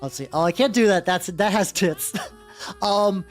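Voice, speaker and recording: A woman's voice: mid-range voice, unhurried. A woman streaming casually as a VTuber, chatting loosely and swearing freely while she paints miniatures and answers her viewers. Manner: rambling, chatty and profane, reacting to chat. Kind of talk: casual livestream chat. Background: faint background music.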